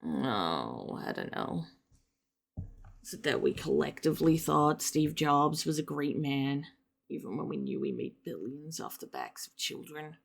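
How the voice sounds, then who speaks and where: A woman playing a withdrawn, bitter young male hacker in a hushed, breathy, muttered dramatic monologue. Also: full character voice. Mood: bitter, numb. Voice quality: hushed, low, breathy murmur. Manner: flat, quick muttered delivery. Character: disillusioned, withdrawn young hacker man, bitterly anti-society.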